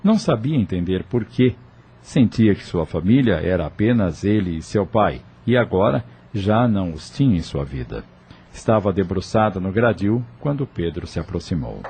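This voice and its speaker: A man's voice: low voice, big pitch swings, moderate pace, mellow, a little hiss or hum. A man narrating a dramatic audio novel as a scripted storyteller. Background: background music.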